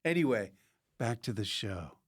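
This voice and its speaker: A man hosting a podcast in casual chat, speaking in a halting, easygoing way. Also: fairly low pitch, very animated, noisy background. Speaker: podcast host.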